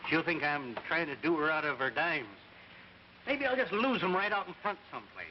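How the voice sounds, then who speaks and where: Folksy old American islander, stubborn storyteller, high voice, hissy 1950s radio drama recording. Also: male voice, big pitch swings, normal speed, highs cut off. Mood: easygoing. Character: folksy old island yarn-spinner, stubborn but good-natured.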